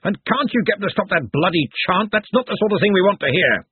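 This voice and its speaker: A man voicing a blustering, indignant old male wizard captain, husky and loud. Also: sits high, animated, fast talker, dry recording, voice pushed up, full character voice. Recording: tinny phone-like band.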